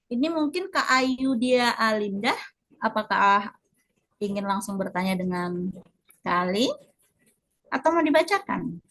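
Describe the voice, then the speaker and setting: A woman's voice: medium pitch, expressive, measured pace, long pauses, fairly bright tone. A woman moderating a webinar in a polite, semi-formal but conversational way, checking in with her listeners.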